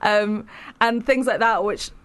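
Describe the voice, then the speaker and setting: A woman's voice: a little high, unhurried, fairly bright tone. A woman who is a podcast guest, talking frankly and chattily and speaking her mind. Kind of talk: casual conversational interview.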